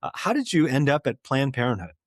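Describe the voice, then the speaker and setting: A man hosting an interview podcast, speaking in a measured way with frequent "uh" fillers. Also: medium pitch, sing-song, dry recording, clean studio sound. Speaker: podcast host.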